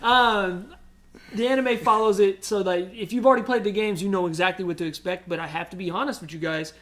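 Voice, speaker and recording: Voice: mid-range voice, fairly quick, a bit bright. A podcast co-host chatting casually, repeating words for emphatic stress.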